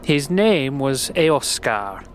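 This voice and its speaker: A man voicing a friendly, gentle, earnest slum dweller in a fantasy audio drama, with a folksy, hesitant way of talking. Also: mid-range voice, big pitch swings, drawn-out words, faint music behind. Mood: earnest, informative.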